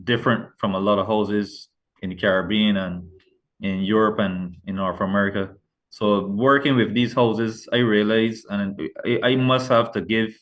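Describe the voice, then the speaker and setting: A man's voice: on the low side, fairly bright tone. A middle-aged man from Barbados with a Barbadian accent, talking as an author guest in a casual interview, earnest and rambling with many restarts. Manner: rambling, self-correcting, earnest.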